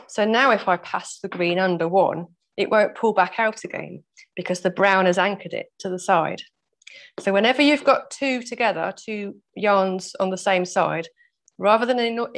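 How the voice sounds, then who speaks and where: A woman with a croaky voice teaching a weaving workshop over a video call, patiently explaining each step and laughing off her own mistakes. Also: mid-range voice. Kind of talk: step-by-step craft demonstration. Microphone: laptop mic over video call.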